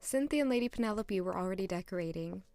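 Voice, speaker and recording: An actress playing a caring, sociable young maid in an audio drama, speaking softly and earnestly in dialogue and reflective narration. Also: female voice, mid-range voice, lively intonation, fast talker, gravelly, no room sound, soft background music. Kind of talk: audio drama acting and first-person narration.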